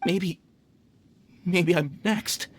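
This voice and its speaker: Nervous, shaken miner. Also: male voice, high-pitched, faint music behind. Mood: fearful, bewildered. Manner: nervous, halting. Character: frightened, shaken male mine worker. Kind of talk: video game voice acting.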